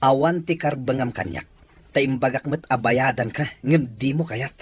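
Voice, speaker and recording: A man's voice: medium pitch, normal speed, a crowd in the background, lightly acted. A man with an Ilocano accent voicing an evasive cheating husband who pleads with his wife in a radio drama. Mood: pleading.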